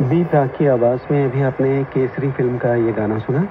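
Through a narrow, tinny radio signal thick with noise comes a male announcer. He presents in a formal music-programme style, at an average pitch for a man. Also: moderate pace. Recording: tinny, very noisy AM radio reception.

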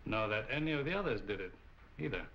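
A suave, cold killer from an old crime movie, clipped and controlled. The voice is sharp and lively in pitch, on a dull soundtrack with faint hiss. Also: male voice, fast talker. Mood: guarded. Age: middle-aged. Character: suave, cold middle-aged male murderer. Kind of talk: 1940 Hollywood crime film acting. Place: furnished apartment film set, indoors. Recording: band-limited, hissy 1940 film soundtrack.

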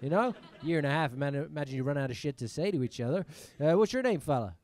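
Teasing stand-up comic with a mixed accent. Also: male voice, fairly high pitch, expressive, a little mellow. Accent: mixed British and American. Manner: teasing, quick banter with the audience, swears. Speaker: stand-up comedian. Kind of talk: stand-up crowd work. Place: comedy club stage, indoors. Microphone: handheld mic.

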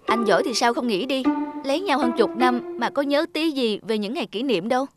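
A woman's voice: fairly high pitch, brisk, over music, natural voice. A woman playing an aggrieved, emotional wife and mother in a cartoon drama. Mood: bitter, arguing.

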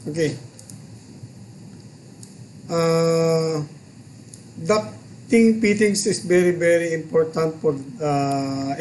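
A man in his forties with a Filipino accent, hosting a home vlog and software tutorial, chatty and halting, mixing languages. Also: a little high, slight background noise, poor audio. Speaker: channel host and software tutor. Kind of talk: casual vlog chat and screen tutorial. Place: small room at home, indoors.

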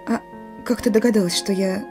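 An actress in a radio play voicing a gruff but emotional woman commander of a wartime partisan detachment. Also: medium pitch, steady tone, brisk, over music, close to an everyday voice. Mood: moved, tender. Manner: commanding, urgent, broken phrases.

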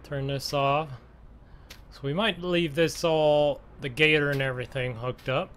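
Slow-talking man over engine noise. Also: fairly high pitch, fairly even intonation, fairly bright tone. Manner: halting, musing aloud to himself. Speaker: gaming channel host. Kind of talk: casual role-play gameplay commentary. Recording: clear engine and vehicle noise behind. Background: game vehicle engine sounds.

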